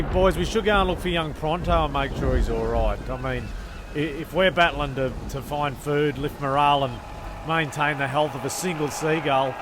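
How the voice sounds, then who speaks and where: A man's voice: mid-range voice, vocal fry. A man narrating a comedy show, rambling and stammering through his own asides. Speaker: narrator and creator. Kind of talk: comic narration.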